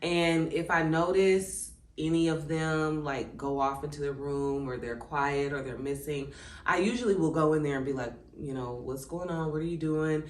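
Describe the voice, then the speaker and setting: A woman in her thirties talks to her viewers in a low, easygoing voice, reading a passage and then reflecting on it in her own words. She fills her pauses with "um" and "like." The sound has a noticeable room echo. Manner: conversational, reflective, many "um" and "like" asides. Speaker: channel host. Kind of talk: casual reflective vlog, reading from a workbook. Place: indoors at home, seated. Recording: echoey room.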